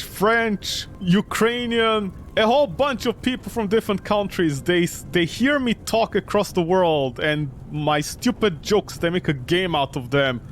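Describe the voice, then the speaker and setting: A man's voice: high voice, a little hiss or hum. A man giving joking let's play commentary as a gaming streamer, sarcastic and incredulous.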